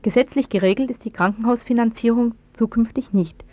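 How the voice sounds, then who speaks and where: A woman's voice: fairly high pitch, brisk, dark tone, no room sound. A woman presenting on the radio, reading a scripted news report.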